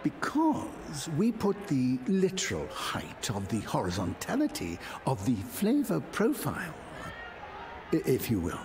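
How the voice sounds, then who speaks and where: A man's voice: medium pitch, huge pitch swings, unhurried, noisy background. A man voicing a nervous, fussy, emotional chef in a cartoon video game, with a hesitant and dramatic way of talking. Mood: flustered.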